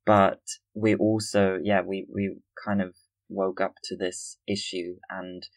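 Low-voiced man hosting a podcast. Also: flat delivery, slow pace, dry recording. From Austria. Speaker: podcast host. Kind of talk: conversational podcast interview.

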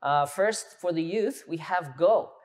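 Male pastor, 30s, casual teaching sermon, lively gestures, church hall, lapel mic. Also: on the high side, a little slow. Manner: animated, gesturing, conversational teaching.

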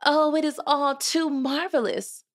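A woman voicing a gentle young servant girl in a storybook, polite and wistful, with an earnest, hesitant manner. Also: medium pitch, expressive, brisk, no echo, clean studio sound. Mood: delighted.

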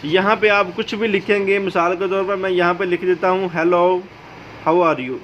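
Male tutorial host, notably high voice, explaining step by step over slightly noisy audio. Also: normal speed. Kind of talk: how-to video narration. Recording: dull lo-fi audio, steady background noise.

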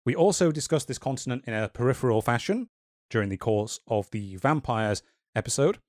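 A man hosting a casual podcast, talking in a measured way with wry, dry humor. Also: medium pitch, expressive, darkish tone, no room sound. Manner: measured, wry and dryly joking. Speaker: podcast host.

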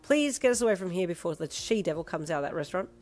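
A woman's voice: on the low side, animated, fast talker, a little mellow, faint music behind, natural voice. A woman doing an eager young adult.

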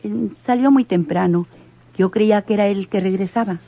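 An actress playing a frightened, anxious woman in a radio soap, with a husky, breathy voice. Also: mid-range voice, normal speed, mellow, a little hiss or hum, lightly acted. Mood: uneasy. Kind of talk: Spanish-language radio soap acting.

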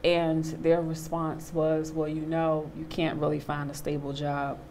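A woman in her thirties on a studio panel, telling a personal story with animated quoted voices. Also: deep voice, measured pace, slight background noise. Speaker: panel guest, actor. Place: studio stage with a small audience.

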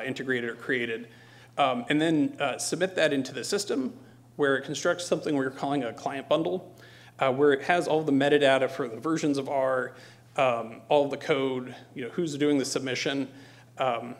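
A middle-aged man presenting technical material as a conference speaker, hesitant, with frequent ums and pauses. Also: mid-range voice. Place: lecture hall stage.

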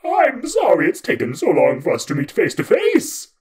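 A man voicing a huge, petulant, tick-like male Dark God who acts like an internet troll, loud, whiny and theatrical. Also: high voice, wildly swooping pitch, moderate pace, pitched above the performer's own voice. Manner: loud, whiny, theatrical, touchy about his hair. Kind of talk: storytelling with voiced characters.

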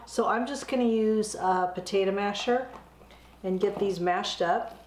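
A middle-aged woman hosting a home cooking channel, a bit hoarse from a cold, explaining a recipe step by step in a friendly, chatty way. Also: mid-range voice, a little slow, darkish tone, roomy sound, a little hiss or hum. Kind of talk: casual cooking demonstration. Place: home kitchen, indoors.

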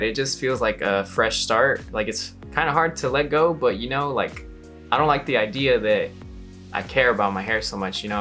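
A man's voice: mid-range voice, pushed and bright. A young man casually reacting to his own old video, talking in a laid-back, slangy way into a headset mic in a small bedroom. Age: young adult. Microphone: gaming headset mic.

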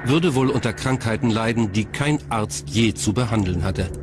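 A man's voice: fairly low pitch, normal speed, background noise. A man reading scripted documentary narration as the narrator. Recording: music bed behind narration. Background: background music.

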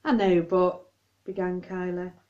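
A woman's voice: on the low side, flat delivery, a little slow. A woman reading a children's story aloud on a lo-fi home recording. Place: high-ceilinged living room.

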